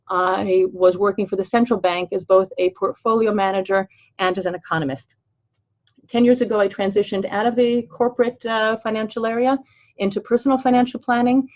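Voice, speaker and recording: A woman's voice: mid-range voice, highs cut off. An older woman with an American accent who grew up in Los Angeles, hosting a webinar as a financial planner in a chatty, upbeat way with everyday fillers. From Los Angeles, USA. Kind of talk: webinar presentation.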